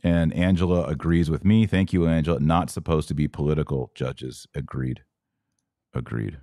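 A man's voice: extremely low pitch, fairly even intonation, normal speed, warm tone, no room sound, clean studio sound. An American man hosting a podcast, speaking casually and off the cuff as he reads out and answers viewer comments. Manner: conversational, reads aloud then responds off the cuff. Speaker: podcast host.

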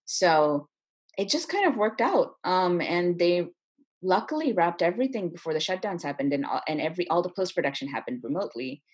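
Woman, low-pitched, slightly rough husky tone, effusive, conversational interview guest. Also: lively intonation, brisk, darkish tone. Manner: enthusiastic, effusive. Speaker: playwright and screenwriter, interview guest.

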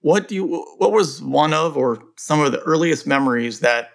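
Relaxed English-born host, rambling questions. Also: male voice, a little high, normal speed, vocal fry. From England. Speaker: podcast host. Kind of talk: casual interview talk.

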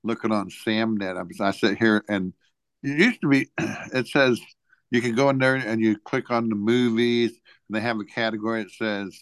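A man co-hosting a podcast in casual chat, telling things in a rambling, halting way. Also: on the low side, dry recording, rough recording. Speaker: podcast co-host.

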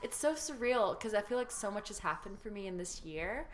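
A young woman hosts a casual podcast chat with a friend. She talks loosely, with lots of likes and ums and quick laughs. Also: medium pitch, expressive, a little hiss or hum. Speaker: podcast host.

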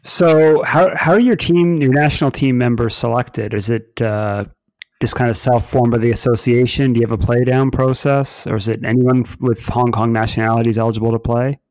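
Male interviewer, mid pitch, loose rambling questions, dry recording. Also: brisk, a little mellow, no echo.

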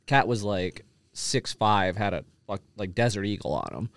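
A man's voice: on the low side, lively intonation, normal speed, a bit bright, no room sound. A man co-hosting a podcast, talking casually and telling anecdotes in loose, conversational banter. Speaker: podcast co-host.

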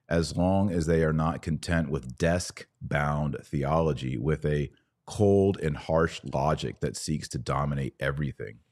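A man's voice: extremely low pitch, darkish tone. A man hosting a podcast, giving conversational religious commentary in an emphatic, argumentative way with rhetorical questions. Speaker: podcast host.

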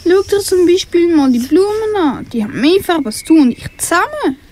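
Very high young elephant, child acting, Swiss German accent, wistful, friendly, radio play, lively intonation. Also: normal speed, a little hiss or hum. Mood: sad. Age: child or teenager.

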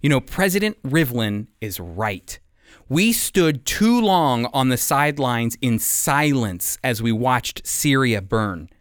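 An American man hosting a radio Bible program, giving scripted teaching and commentary in an earnest, deliberate way with emphasis on key phrases. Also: mid-range voice, sing-song, dry recording, clean studio sound.

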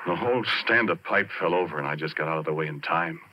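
An actor playing a haunted, plain-spoken oil rig roughneck, telling his story haltingly and colloquially in 1940s radio drama style. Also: low-pitched, very noisy, lightly acted. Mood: tense dread. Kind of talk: 1940s radio drama acting, first-person narration. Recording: muffled vintage 1940s radio recording, organ-era music.

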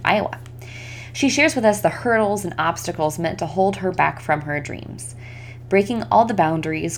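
Upbeat woman podcast host. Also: medium pitch. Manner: warm upbeat interviewer, lively rises. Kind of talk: scripted intro then casual interview.

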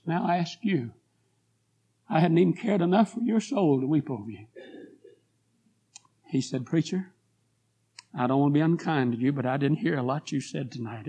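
A hoarse man preaching a revival sermon in a church, telling a story and building to fervent appeals. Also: a little high. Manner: rising to fervent pleas, then quiet prayer. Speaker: guest preacher. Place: church sanctuary.

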